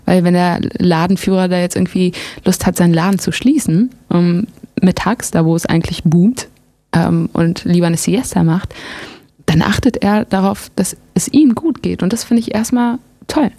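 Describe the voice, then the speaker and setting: A woman from Germany chats easily in an interview, her voice carrying a gravelly edge. She hesitates often and fills gaps with small sounds. The recording is dry and close, with no room around it. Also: fairly low pitch, moderate pace, no room sound. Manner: relaxed, hesitant with frequent fillers. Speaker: musician guest. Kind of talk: casual interview talk.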